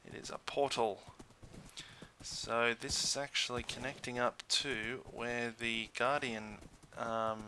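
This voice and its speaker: A man's voice: mid-range voice, level tone, takes it slowly, bright, forceful tone, slight background noise, rough recording. A man hosting a gaming video with casual commentary, hesitant and thinking aloud as he goes.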